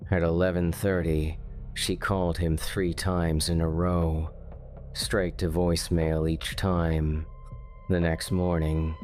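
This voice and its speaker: Deep, slow, flat-voiced male narrator. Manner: slow, measured, ominous delivery. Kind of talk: scripted horror narration. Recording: faint music underneath.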